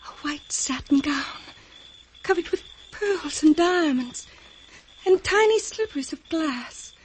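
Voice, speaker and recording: An actress playing a sweet, wonderstruck young fairy-tale heroine in a breathless, dainty, earnest way, in old-time radio drama style. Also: female voice, high voice, animated, slow. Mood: grateful. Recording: noisy, crackly old radio recording.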